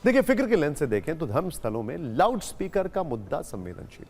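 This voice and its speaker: Guy anchoring tv news, highish voice, really animated up and down, explains stuff with emphasis. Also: fast, soft background music. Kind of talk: television news presentation.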